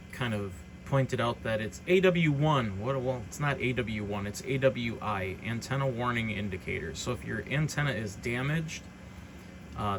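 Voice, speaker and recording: A man giving an informal, unscripted product walkthrough as a hobbyist presenter, explaining features in a hesitant way. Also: medium pitch. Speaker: hobbyist presenter demonstrating radio gear. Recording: clearly noisy background.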